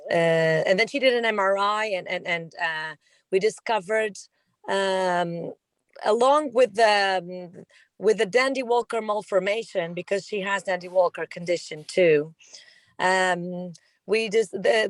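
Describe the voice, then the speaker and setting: A woman's voice: medium pitch, dry recording. A woman from Portugal with a Portuguese accent, a parent asking a question on a video call and searching for her words.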